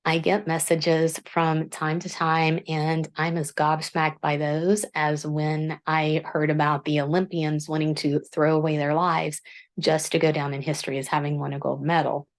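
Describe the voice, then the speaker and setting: A woman author hosting her book channel, speaking in a low voice in an earnest, self-deprecating, measured monologue. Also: level tone. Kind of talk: reflective scripted monologue.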